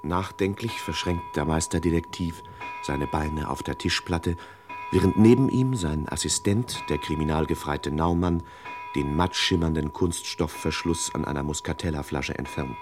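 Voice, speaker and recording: An actor playing a pompous, deadpan male narrator of a parody detective thriller in an old radio play. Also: rumbling low, normal speed, over music. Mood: mock-dramatic. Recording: noisy old radio recording with clicks.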